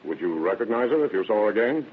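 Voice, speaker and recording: An actor playing a confident, dogged sheriff in an old radio drama, firm and matter-of-fact. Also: male voice, on the low side, big pitch swings, fast talker, slight background noise. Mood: confident, brisk. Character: confident, dogged male sheriff, brisk and authoritative. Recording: tinny narrow-band vintage radio recording.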